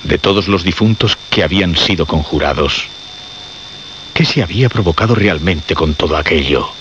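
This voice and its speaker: An actor playing an ominous narrator of radio suspense, with portentous, rhetorical delivery. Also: male voice, low voice, moderate pace, noisy background, lightly acted. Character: ominous male narrator of a horror tale. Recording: dull radio recording under loud music.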